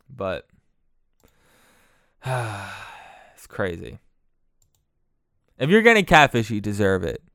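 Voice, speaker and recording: A young man rants alone into his podcast mic, cursing, mocking, and tripping over his own restarts. His voice sounds smooth and clear, and the recording is close and dry, with no room sound. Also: fairly low pitch, fairly bright tone, no echo. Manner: profane, mocking, rambling with many restarts. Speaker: podcast host. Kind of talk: solo comedic rant.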